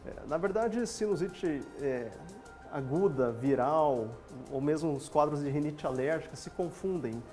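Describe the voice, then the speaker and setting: A man with a Brazilian Portuguese accent, a doctor guest on a TV health show, explaining things calmly in plain lay terms in a studio. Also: a little high, unhurried, faint music behind.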